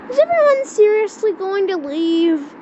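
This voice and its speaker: A woman improvising an amateur skit in a halting way, repeating words as she searches for phrasing, on a lo-fi recording. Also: extremely high pitch, big pitch swings. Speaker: amateur creator voicing a homemade emergency scenario. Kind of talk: improvised amateur skit and mock emergency announcement. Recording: dull lo-fi audio with loud background noise.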